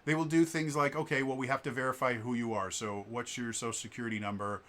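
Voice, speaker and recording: A man with a bass voice talking through a casual vlog commentary, explaining things in a chatty way with many fillers and a stutter on repeated sounds. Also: level tone, fast talker, fairly bright tone. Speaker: vlogger.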